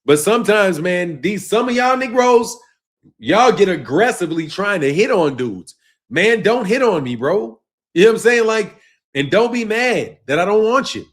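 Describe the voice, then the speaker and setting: A fairly high-pitched man. He leans hard on "bro" and "man," and his voice sinks low and quiet as each phrase trails off. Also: big pitch swings, moderate pace. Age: 50s. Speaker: boxing channel host. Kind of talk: casual live-stream rant reading chat.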